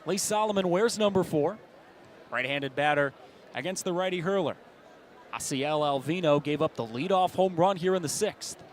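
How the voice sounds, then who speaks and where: Higher-voiced American man calling baseball play-by-play. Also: moderate pace. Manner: clipped present-tense narration, swelling into shouts on big plays. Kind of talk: live sports commentary. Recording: ballpark ambience behind the voice. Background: outdoor stadium background noise.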